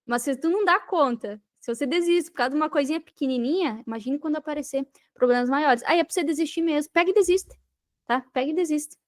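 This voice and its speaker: A Brazilian woman with a clearly high-pitched voice speaks as a podcast guest. She explains and advises conversationally, stressing her points emphatically and repeating phrases to drive them home. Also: fast talker. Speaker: podcast guest, digital marketing mentor.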